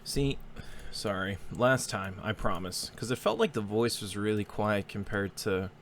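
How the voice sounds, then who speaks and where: A man's voice: lowish voice, a little slow, very noisy. A man hosting a casual let's play, chatting through the game with apologetic asides. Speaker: host of a gaming channel. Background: game music.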